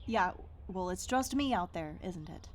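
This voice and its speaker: A woman's voice: on the low side, lively intonation, a little slow, background noise. A woman playing a guarded, stubborn dweller of the wilds in a fantasy audio roleplay, speaking in an earnest, naturalistic, hesitant way. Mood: troubled, earnest.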